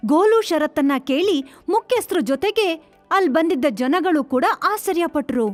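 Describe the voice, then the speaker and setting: A woman's voice: very high-pitched, lively intonation, moderate pace, no room sound, faint music behind. A woman narrating a scripted children's story.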